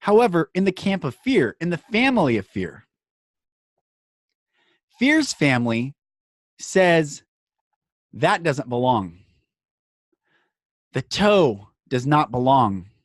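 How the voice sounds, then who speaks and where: A man hosting a podcast, speaking in an earnest, emphatic inspirational monologue broken into short phrases with dramatic pauses. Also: fairly high pitch, big pitch swings, dry recording, studio quality.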